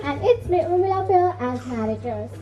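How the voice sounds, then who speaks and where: Girl narrating a school play, very high soft-toned voice. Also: expressive, moderate pace, muffled recording, slight background noise.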